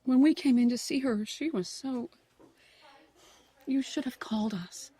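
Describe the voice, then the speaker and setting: Higher-voiced, husky middle-aged mother, sharp and defensive , played by an actress. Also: a little slow. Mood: indignant. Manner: sharp, indignant. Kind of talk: audio drama acting.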